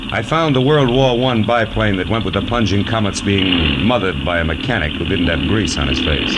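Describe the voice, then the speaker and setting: An actor playing a wisecracking, world-weary private detective in 1940s radio noir style, wry and clipped. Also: male voice, deep voice, heavy background noise. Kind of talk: 1940s radio noir acting and first-person narration. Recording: vintage 1940s radio recording, music behind.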